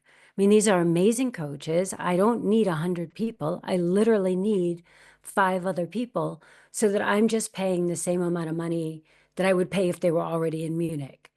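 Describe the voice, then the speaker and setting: A woman's voice: fairly low pitch, a little mellow. A woman in her fifties, an actress, telling her story in a candid, reflective interview. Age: 50s. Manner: candid, reflective, quotes her own past thoughts. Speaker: actress and guest.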